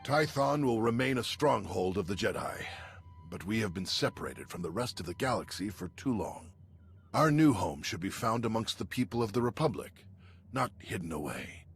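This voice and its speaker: An actor playing a wise, serene scholarly Jedi master in a video game, measured and formal. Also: male voice, on the low side, lively intonation, creaky, faint music behind. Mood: earnest, resolute. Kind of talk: scripted video game acting.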